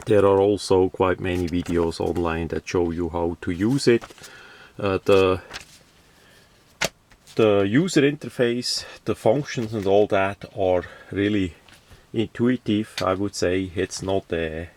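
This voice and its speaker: A man who is not a native English speaker gives a casual unboxing review in halting, hesitant phrases. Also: deep voice, fairly even intonation, a little mellow, a little hiss or hum. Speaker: product reviewer.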